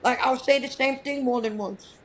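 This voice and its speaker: A man with a high, feminine-sounding, raspy voice, chatting casually and bluntly as a guest and asking naive questions. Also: moderate pace, slight background noise. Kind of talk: casual chat.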